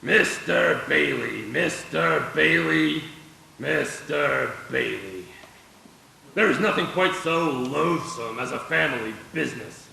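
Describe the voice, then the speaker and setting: A man playing a cold, mean, rich old businessman with a gravelly, disdainful voice in a live radio play. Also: on the high side, animated, slow pace, sharp tone, exaggerated voice. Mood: contemptuous. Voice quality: gravelly, creaky. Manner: disdainful, imperious. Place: stage of a live theater performance. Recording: very echoey stage, faint noise.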